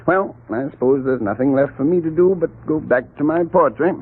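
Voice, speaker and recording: An actor playing a resigned, wordy, genteel crook in a 1940s radio mystery, with a sighing, mannered delivery. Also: male voice, a little high, fairly quick, slightly rough. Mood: rueful resignation. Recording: tinny, scratchy 1940s radio recording.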